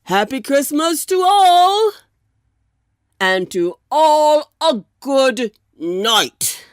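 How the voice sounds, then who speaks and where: Jolly old sleigh driver played by a woman, loud exuberant calls, high pitch, storybook style, dry sound. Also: big pitch swings, extremely slow, no echo, exaggerated voice. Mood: merry. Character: jolly old Saint Nicholas calling his reindeer. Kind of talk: storybook poem character.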